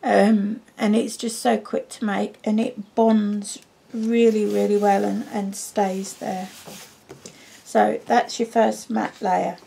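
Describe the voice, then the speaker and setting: Croaky woman with a cold. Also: mid-range voice, little pitch movement, slow pace, mellow. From United Kingdom. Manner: chatty, with frequent ums. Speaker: craft demonstrator. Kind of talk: card-making tutorial.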